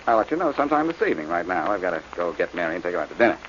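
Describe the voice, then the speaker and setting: Cocky wisecracking sleuth, rapid talk, swinging intonation, needling, vintage radio drama, muffled. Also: male voice, low voice, big pitch swings, a bit bright, a little hiss or hum. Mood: teasing, breezy. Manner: glib, needling banter. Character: cocky wisecracking amateur detective, teasing and breezy. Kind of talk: 1940s radio detective drama acting.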